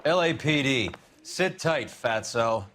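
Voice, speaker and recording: An actor playing a sly, mocking 1940s detective who trades wisecracks and insults. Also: male voice, mid-range voice, expressive, takes it slowly, a bit bright. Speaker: police detective partner. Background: music score.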